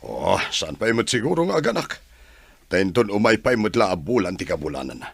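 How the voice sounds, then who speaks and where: A man's voice: on the low side, sing-song, fairly quick, gravelly, fairly bright tone, husky, no echo, full character voice. A man acting in an audio drama as a stern, commanding grandfather-to-be. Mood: stern, disapproving.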